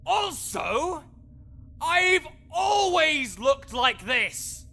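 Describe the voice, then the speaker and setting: A man's voice: extremely high pitch, sing-song, very slow, creaky, pushed and bright, heavily acted. A man playing a grouchy, scholarly necromancer in an audio roleplay, condescending, pedantic and sarcastic. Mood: indignant outrage. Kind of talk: audio roleplay acting addressed to the listener.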